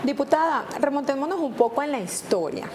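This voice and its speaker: A woman's voice: sits high, very animated, background noise. A Venezuelan woman hosting a TV legal program, speaking in a polished, formal, announcer-like way. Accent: Venezuelan Spanish. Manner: polished, announcer-like, slows down for numbers. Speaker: TV host and lawyer. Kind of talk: formal TV presenting.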